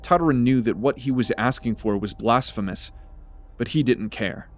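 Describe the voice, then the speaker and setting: A man's voice: medium pitch, moderate pace. A man narrating a fantasy audio drama as a scripted, dramatic story.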